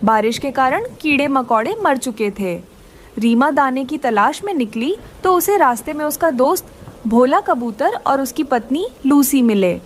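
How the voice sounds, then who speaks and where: A woman's voice: sits high, animated, moderate pace, a little hiss or hum. A woman reading scripted moral-story narration for a children's cartoon.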